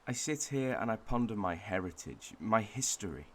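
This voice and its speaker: A man playing a wistful Welshman, delivering a pensive, measured monologue in a radio drama. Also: lowish voice, animated. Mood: melancholy, conflicted. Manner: pensive, measured, with thoughtful pauses. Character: wistful Welshman reflecting on his home village and heritage.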